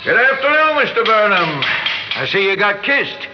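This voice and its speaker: A man's voice: high voice, big pitch swings, normal speed. A man acting in a classic radio drama as a chummy, folksy car repairman with a genial manner. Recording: muffled old radio recording with hiss.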